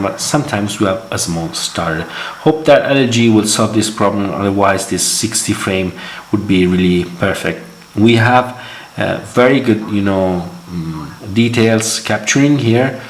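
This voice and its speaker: A man with a fairly low voice presents a gadget hands-on, clearly speaking English as a second language. He is wordy and halting, repeating words as he explains. The room is very echoey, with faint noise behind him. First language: not English. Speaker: tech reviewer, host of a gadget channel. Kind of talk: hands-on product demo, unscripted.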